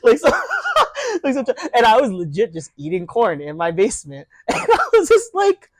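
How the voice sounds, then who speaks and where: A man's voice: very high-pitched, wildly swooping pitch, moderate pace, dry recording, clean studio sound. A young man chatting casually with friends as podcast host, rambling through stories and laughing often. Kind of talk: casual chat among friends.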